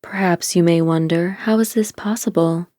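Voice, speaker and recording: A woman narrating a scripted guided meditation in a calm, soothing, deliberate way, giving gentle instructions. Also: lowish voice, dry recording, clean studio sound.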